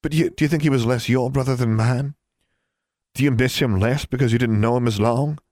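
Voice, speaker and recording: A man's voice: mid-range voice, brisk, a little mellow, no echo, studio quality, voice pushed up, close to an everyday voice. A man voicing a caring, earnest teenage girl in an audiobook, pleading with strong emotion.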